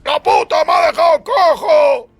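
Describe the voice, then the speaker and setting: A man voicing a bitter, wounded fighter who shouts in agony for help in an audiobook. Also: very high-pitched, vocal fry, faint music behind, some chatter behind, voice pushed up, exaggerated voice. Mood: agonised. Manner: agonised, drawn-out shouting.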